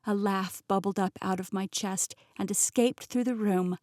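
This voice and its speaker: A young adult woman narrating a first-person novel in a clear, expressive storytelling manner, recorded on a close studio mic. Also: mid-range voice, moderate pace, dry recording. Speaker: audiobook narrator.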